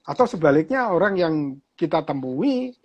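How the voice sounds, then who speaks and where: A man with a Javanese accent, a pastor talking casually and relaxed in an online conversation, chatty and quick to laugh. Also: on the high side, lo-fi sound. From Java, Indonesia.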